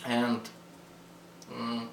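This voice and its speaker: A man's voice: medium pitch, completely flat delivery, gravelly, fairly bright tone. Blunt swearing Russian-accented man, echoey. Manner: blunt, swears a lot, halting non-native English. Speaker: vlogger. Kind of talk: casual rambling vlog. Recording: echoey room, mic at a distance, faint hum.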